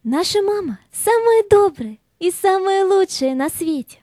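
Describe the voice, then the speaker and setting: A young fairy-tale heroine, kind and full of curiosity. Her voice is very high with a soft edge, in a dry audio-play recording. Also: lively intonation, unhurried, no echo. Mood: reassuring. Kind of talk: children's audio play acting.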